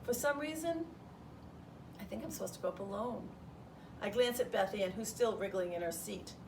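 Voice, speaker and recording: A woman reading a children's storybook aloud in the first person as a schoolteacher would, with an expressive delivery. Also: medium pitch, moderate pace, vocal fry. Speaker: third grade teacher reading aloud. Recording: distant mic, roomy background noise.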